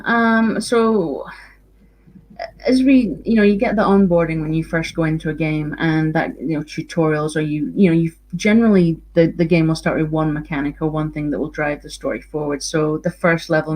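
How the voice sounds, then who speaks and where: A woman's voice: low voice, darkish tone, poor audio. A Scottish woman, a university researcher, giving an earnest online conference talk with frequent ums and repeated words. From Scotland.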